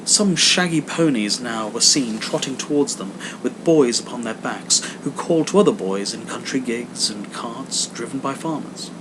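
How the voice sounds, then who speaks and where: Male audiobook narrator, mid-pitched, scripted storytelling, clearly noisy home recording with rumble. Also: lively intonation, normal speed, hardly any pauses. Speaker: audiobook reader.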